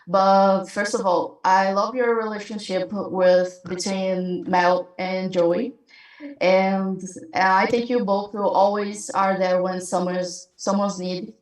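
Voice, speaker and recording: A woman fan from Brazil with a Brazilian Portuguese accent, asking a question in nervous, halting English on a video call. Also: medium pitch, flat delivery, very echoey, far from the mic, low-quality recording. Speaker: fan caller.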